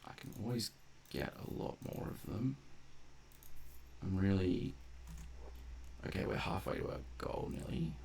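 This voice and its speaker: A man's voice: low voice, sing-song, measured pace, creaky, pauses often, a little mellow, slight background noise. A man giving casual gameplay commentary, thinking aloud in a laid-back, colloquial mutter. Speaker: gameplay video host.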